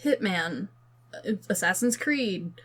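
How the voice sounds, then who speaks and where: Female podcast co-host, mid-range voice, informal conversational delivery, halting and thinking out loud. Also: mid-range voice, lively intonation, husky. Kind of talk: casual chat about films and games.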